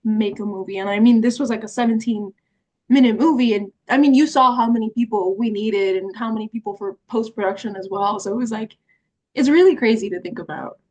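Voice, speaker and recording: A woman's voice: fairly high pitch, brisk, darkish tone, rough recording. A young woman, an actress, chatting casually over a video call, laughing often and filling her talk with "like". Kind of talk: casual conversation.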